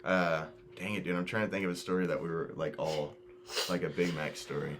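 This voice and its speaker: Deep-voiced eighteen-year-old guy hosting casually. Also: fairly even intonation, brisk. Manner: slangy, informal, says dude and bro often. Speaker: host of a video podcast. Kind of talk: casual chat, storytelling with friends. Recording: noisy home-made recording.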